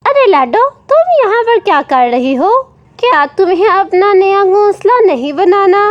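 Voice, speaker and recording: A woman's voice: extremely high pitch, expressive, measured pace, muffled recording, voice pushed up. A woman voicing a friendly, concerned male crow in a cartoon, earnest and exclamatory. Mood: friendly, curious.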